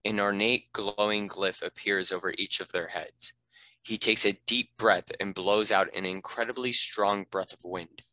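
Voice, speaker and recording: A man's voice: lowish voice, steady tone, unhurried, pushed and bright, no room sound, rough recording. A man reading scripted narration and stage directions for a fantasy script read.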